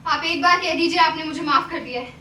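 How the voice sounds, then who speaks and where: A very high-pitched, volatile courtesan. She is proud and wounded, declaiming with raw theatrical emotion. The sound is roomy and picked up from a distance, with faint music behind. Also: fairly quick, sharp tone, a little hiss or hum. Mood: remorseful, pleading. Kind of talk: Hindi stage play acting.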